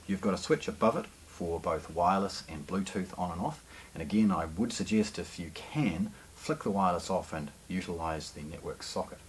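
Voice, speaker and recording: A man giving an unscripted product walkthrough in a measured, explanatory, slightly formal way, as a shop presenter. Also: deep voice, slight background noise. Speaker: shop presenter hosting product unboxing video.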